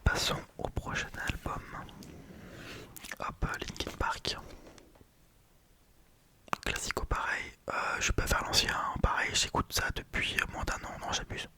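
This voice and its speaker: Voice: a little slow, pauses often. Rambling whispered late-night vlogger. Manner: whispering, rambling, often correcting themselves. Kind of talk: whispered vinyl haul vlog.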